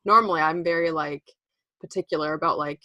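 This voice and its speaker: A woman in her thirties co-hosting a casual knitting podcast, chatty and quick to laugh. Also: low-pitched, fairly even intonation, sharp tone. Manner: chatty, laughs often, lots of fillers. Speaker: podcast co-host.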